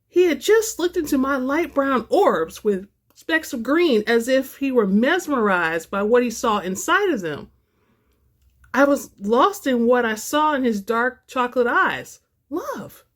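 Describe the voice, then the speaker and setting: A woman reading romance fiction aloud as a host, with an expressive, theatrical delivery. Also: high-pitched, a little slow. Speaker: audiobook-style reader and channel host.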